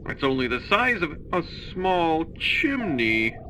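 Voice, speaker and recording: Martian leader, male actor: peaceable, melodramatic, slow and swooping delivery for a comic audio drama. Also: fairly high pitch, sing-song, pushed and bright, a little hiss or hum, full character voice, rough recording. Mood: astonished relief. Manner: earnest, melodramatic, drawn-out. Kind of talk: comic audio play acting.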